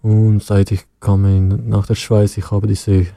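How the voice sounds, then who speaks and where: A young man from Iran's region, speaking halting second-language German and laughing often as an interview guest. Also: fairly low pitch, little pitch movement, creaky, dark tone, no room sound. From Iran, Kurdish west. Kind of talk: interview conversation.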